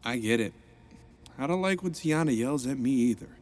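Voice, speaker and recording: An actor playing a cheerful, jokey male rehab counselor in an audio drama, with a playful, lively delivery. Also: mid-range voice, normal speed, natural voice.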